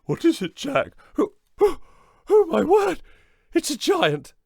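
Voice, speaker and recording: A man's voice: wildly swooping pitch, moderate pace, vocal fry, darkish tone, no room sound, clean studio sound, full character voice. A man voicing a flustered mother who gasps in shock. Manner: high, fluttery exclamations.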